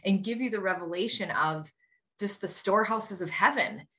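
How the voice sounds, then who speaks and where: A woman's voice: mid-range voice, moderate pace, fairly bright tone. A woman hosting a faith-based interview, affirming her guest and asking thoughtful questions. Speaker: podcast host.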